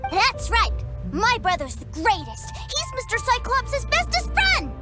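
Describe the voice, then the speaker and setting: A woman's voice: extremely high pitch, wildly swooping pitch, unhurried, full character voice. A woman voicing an eager, sweet little boy who talks chirpily and earnestly in a video game. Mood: cheerful. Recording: game audio with loud music.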